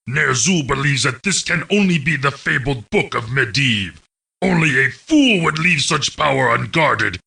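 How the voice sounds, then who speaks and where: A man playing a menacing orc narrator in a video game, gravely briefing a war commander in a gravelly, commanding, deliberate delivery. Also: a little high, sharp tone, far from the mic, exaggerated voice. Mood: scornful, menacing. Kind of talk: scripted game campaign narration.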